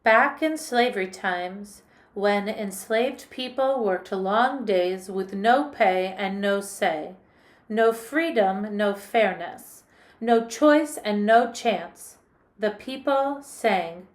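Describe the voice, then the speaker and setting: A woman music teacher who leads children through a song in call and response, then reads a story aloud. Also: medium pitch. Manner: slow, gentle call-and-response singing with a ukulele. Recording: roomy echo with loud ukulele.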